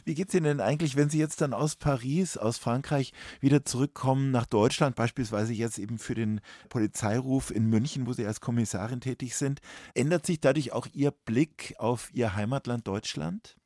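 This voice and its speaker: A man hosts a radio talk show in a studio, asking his guest polite, probing questions at a measured pace. His voice sits at a middle pitch. It is recorded dry, with no room sound around it. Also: warm tone, dry recording.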